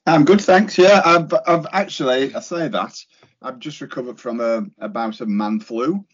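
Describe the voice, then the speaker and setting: A male podcast guest chatting casually, his voice croaky from a recent cold. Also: medium pitch, moderate pace. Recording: dull lo-fi sound.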